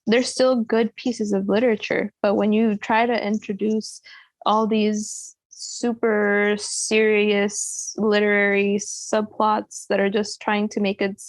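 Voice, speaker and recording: A woman's voice: mid-range voice, little pitch movement, slow, dry recording, rough recording. A woman chatting casually and opinionatedly about books as a podcast guest, often slipping in conversational asides. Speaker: book club podcast guest. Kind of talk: casual book discussion.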